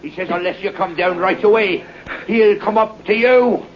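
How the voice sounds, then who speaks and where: An actor playing a frightened, excitable servant with a breathless, theatrical, alarmed delivery in an old radio horror drama. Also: male voice, sits high, moderate pace, crowd noise, lightly acted. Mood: alarmed, urgent. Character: frightened, excitable servant bringing a dreadful message. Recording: muffled, noisy old radio recording.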